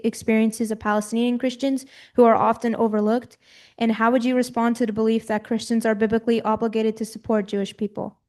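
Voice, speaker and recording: A woman stands up to ask the speaker a question, her voice a little high and held in a flat, even line. She reads it out carefully, with a small "um" here and there. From United States. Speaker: audience member asking a question. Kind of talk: formal question from the floor.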